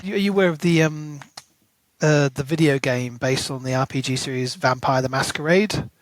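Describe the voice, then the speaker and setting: A man's voice: mid-range voice, moderate pace, no echo. A man co-hosting a book podcast, chatting casually and enthusiastically, with plenty of ums and restarts. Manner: chatty, filler-heavy, enthusiastic. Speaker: podcast co-host.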